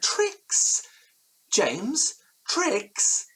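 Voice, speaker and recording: An actor playing an anxious, haunted gentleman narrating a period ghost story in florid, formal, faltering language. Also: sits high, wildly swooping pitch, extremely slow, breathy edge, full character voice. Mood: hurt, pleading. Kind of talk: dramatic first-person horror narration in audio drama.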